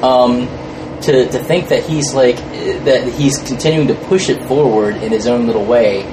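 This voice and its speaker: A man's voice: medium pitch, unhurried. A man chatting casually as an interview guest, hesitant, often repeating words and trailing off mid-phrase. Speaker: podcast guest, fan film crew member. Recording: noisy room with steady background hum.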